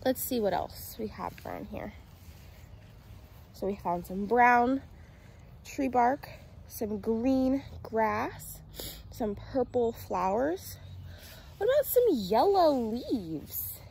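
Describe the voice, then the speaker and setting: A woman's voice: a little high, very animated, slow, vocal fry, pauses often, a little mellow, slight background noise, poor audio. A woman in her twenties talking to young children like a teacher on a nature walk, with simple sing-song phrases and questions, recorded outdoors on a handheld phone. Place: outdoors in a residential yard. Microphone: phone at arm's length.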